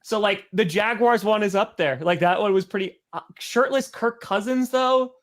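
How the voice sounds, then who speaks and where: A man's voice: high-pitched, normal speed. A man co-hosting a casual sports podcast, laid-back and self-mocking. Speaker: podcast co-host. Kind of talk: casual chat.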